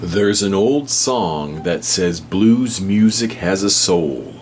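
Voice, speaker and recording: A man reading a children's picture book aloud as a storybook reader, with deliberate, measured phrasing. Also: sits low, animated. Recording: hum and faint background noise.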